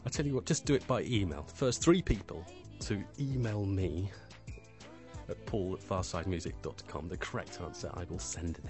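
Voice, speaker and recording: A middle-aged man presenting a radio music show in a relaxed, casual way, often pausing with fillers. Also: sits low.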